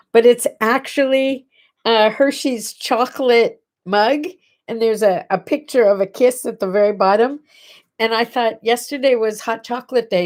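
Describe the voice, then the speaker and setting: A middle-aged woman with a congested, nasal voice, hosting a casual morning livestream chat from her home and chatting directly to viewers. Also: a little high. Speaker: host. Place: home bedroom.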